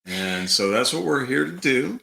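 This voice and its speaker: A middle-aged man from Dallas, Texas, co-hosting a podcast in casual conversation with a halting, reflective manner. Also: mid-range voice, sing-song, creaky, pushed and bright. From Dallas, Texas, United States. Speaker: podcast co-host.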